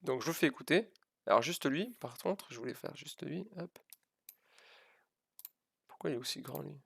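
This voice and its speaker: A man's voice: fairly high pitch, expressive, normal speed, gravelly, fairly bright tone, breathy edge, no echo. A man giving an informal live physics lesson, hesitant and self-deprecating, repeating and stressing key words as he explains. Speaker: physics teacher streaming live.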